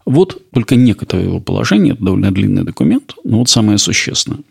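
A man narrates a history lesson in a calm, measured, explanatory manner. His voice sits low and has a rough, gravelly grain. It also carries a husky edge. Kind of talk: scripted narration.

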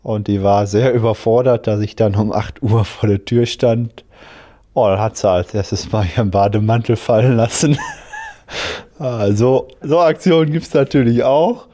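A man's voice: mid-range voice, fairly even intonation, moderate pace, mellow. A man in his twenties, a master electrician, telling laid-back stories and chuckling in an interview. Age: 20s. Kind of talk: interview answers.

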